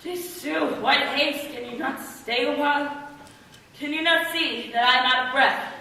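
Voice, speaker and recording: Echoey stage actress as a fussy old nurse. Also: female voice, high-pitched, sharp tone, heavily acted. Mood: weary, complaining. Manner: theatrical, complaining, rambling, drawn-out teasing. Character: garrulous old female nurse, weary, teasing, fussy servant. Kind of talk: Shakespeare stage acting. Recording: echoey hall, distant mic, faint noise.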